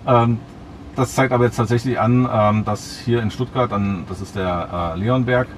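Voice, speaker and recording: A middle-aged man in a parked car, explaining features slowly and hesitantly with many fillers. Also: medium pitch, fairly even intonation, background noise. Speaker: car owner demonstrating his car. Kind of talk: casual explaining.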